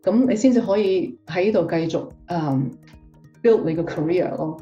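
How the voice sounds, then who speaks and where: A woman talks through her answers in a relaxed, chatty way, full of fillers and self-corrections. Her voice sits a little low and sounds mellow. She is heard on a dull, muffled recording from some distance off the mic, with faint music behind her. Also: moderate pace. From Hong Kong. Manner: chatty, with many fillers and restarts. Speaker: guest tax professional. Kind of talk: casual interview answers.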